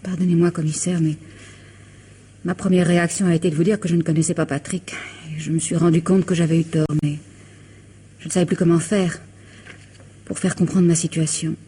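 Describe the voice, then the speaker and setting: Breathy, distressed married woman. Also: lowish voice, little pitch movement, fairly quick, long pauses, mellow. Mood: anguished confession. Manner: defensive, confessional, melodramatic. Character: distressed, evasive married woman questioned by police. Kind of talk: French radio mystery drama acting.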